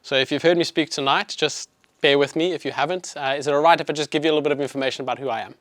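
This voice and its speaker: A man's voice: fairly high pitch, rapid-fire, a bit bright, no echo, clean studio sound. A man in his thirties with a South African accent, a chiropractor giving an evening health presentation to an audience in a friendly, conversational way with frequent fillers. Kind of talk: public health talk to an audience. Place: clinic presentation room.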